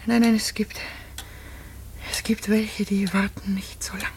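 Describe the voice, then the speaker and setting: A woman acting in a German radio play as a feverish, guilt-haunted wife, halting and repeating words, lapsing into whispers. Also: mid-range voice, flat delivery, a little mellow, a little hiss or hum, close to an everyday voice. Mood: delirious dread.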